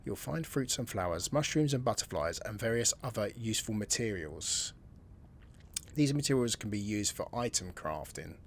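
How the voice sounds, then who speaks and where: A man's voice: fairly low pitch, animated, moderate pace, slight background noise. A man giving casual, chatty commentary while he plays a video game, thinking aloud and reading tutorial text as he goes. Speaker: gaming channel host.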